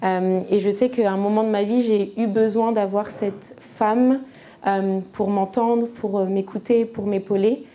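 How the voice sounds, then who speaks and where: A woman's voice: medium pitch, steady tone, unhurried, warm tone. A woman in her thirties, from France, giving a personal church testimony in a conversational way with frequent hesitations. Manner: conversational, many fillers and restarts.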